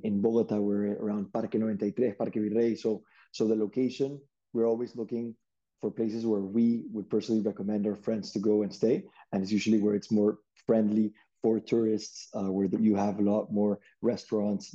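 A young man from Peru, a startup CEO, answering interview questions in a relaxed way with many restarts and fillers. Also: on the low side, a little fast, highs cut off.

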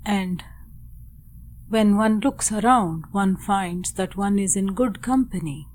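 A woman narrating a guided meditation in a measured, deliberate way, in short phrases with long pauses. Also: mid-range voice, darkish tone, slight background noise.

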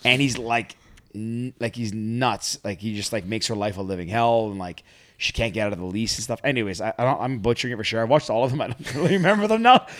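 A young man co-hosting a podcast, rambling and poking fun at himself in casual chat. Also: mid-range voice. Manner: rambling, self-deprecating, fast. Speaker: podcast co-host.

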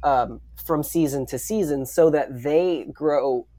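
A man speaking as a panelist in a casual discussion, in a halting, reflective way. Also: a little high, mellow, no echo. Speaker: audio drama writer and panelist.

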